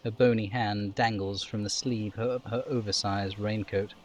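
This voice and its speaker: A man's voice: on the low side, steady tone, moderate pace. A man streaming a game, reading descriptive prose aloud like a narrator and dropping in casual asides.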